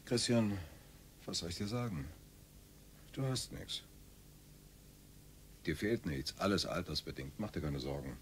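A man's voice: sits low, unhurried, pauses often, a little hiss or hum. An actor in his forties playing a curt, patronizing doctor in a radio play. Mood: breezy. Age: 40s. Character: brisk, dismissive male family doctor.

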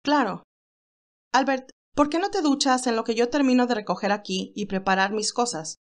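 A woman's voice: a little high, moderate pace, voice pushed up, lightly acted. A woman voicing an earnest, tender young nurse in an audio story, emotional and caring. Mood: bright, caring.

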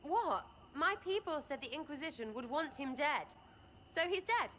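An actress playing a cheeky, irreverent young elf archer in a video game, slangy and flippant. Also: female voice, high voice, animated, normal speed, a bit bright, a little hiss or hum. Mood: cheeky, brisk.

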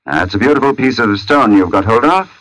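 An actor playing a brooding, polite gentleman narrator in a 1940s radio drama, measured, literary and intense. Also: medium pitch, animated, close to an everyday voice, rough recording. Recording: old radio broadcast with music.

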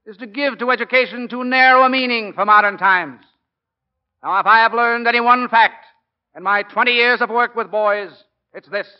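High-voiced, forceful American football coach, old radio. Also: male voice, smooth. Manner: emphatic, oratorical. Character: forceful, plain-spoken middle-aged college football coach, earnest and wry. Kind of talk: 1940s radio acting. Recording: muffled 1940s radio broadcast recording.

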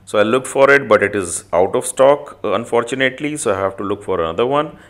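A man giving an informal screen-recorded walkthrough as a reviewer, speaking haltingly with frequent filled pauses. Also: on the low side, expressive, a bit bright. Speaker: app reviewer.

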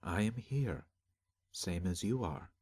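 A man voicing a calm, cryptic disembodied spirit in a fantasy story, soft and measured as he speaks in riddles. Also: low-pitched, husky, dry recording, studio quality, exaggerated voice. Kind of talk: fantasy audiobook character.

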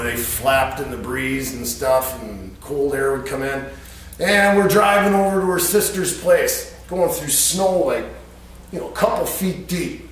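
A man's voice: mid-range voice, slight background noise. A middle-aged American man with a Midwestern accent, telling anecdotes casually in a small workshop. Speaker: vlogger telling stories. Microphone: camera a few feet away. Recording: echoey room, distant camera microphone.

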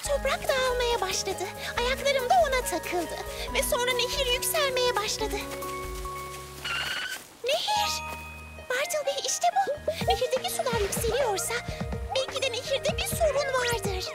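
Cartoon girl hero, about eight: very high, childlike voice, earnest and encouraging, over loud music. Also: lively intonation, measured pace. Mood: determined. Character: eager, determined eight-year-old girl heroine. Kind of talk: animated children's cartoon dub.

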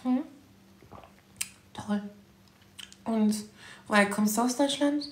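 A man's voice: very high-pitched, slow, slight background noise, pitched above the performer's own voice. A man using a voice changer to play a young woman, asking curious questions on a prank call. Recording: roomy room sound.

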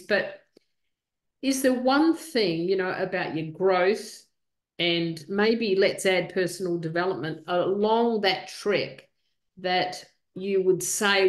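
An older woman from New Zealand hosting a video call interview, asking gentle, encouraging questions. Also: fairly low pitch. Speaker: podcast host. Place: room indoors. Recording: echoey room on a call.

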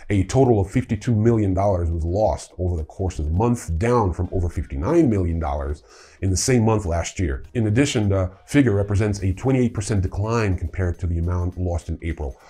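A middle-aged man with a low, mellow voice that has a husky grain. He presents a news rundown in a semi-scripted way, dropping in casual, wry comments as he goes. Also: lively intonation, brisk. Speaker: host of a crypto news show. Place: indoor room with wooden staircase.

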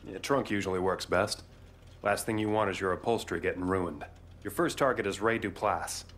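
An actor playing a laid-back American marshal in a video game, folksy, profane and joking. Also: male voice, sits low, fairly quick, fairly bright tone. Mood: wry briefing. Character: laid-back wry male bail marshal handing out bounties.

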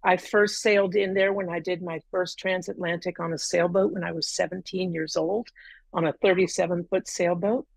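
Middle-aged woman on a video call, lower voice, dry sound, lots of ums. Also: fairly even intonation, normal speed, creaky, no echo. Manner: talkative storyteller, many ums and uhs. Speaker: guest. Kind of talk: casual interview. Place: room at home, green wall.

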